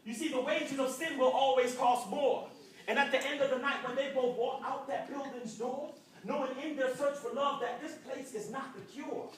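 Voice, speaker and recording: A man's voice: extremely high pitch, fairly even intonation, normal speed, slight background noise. A young man from Jamaica performing rhythmic, rhyming spoken word poetry at an arts center. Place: indoor arts center hall. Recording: echoey room from far off.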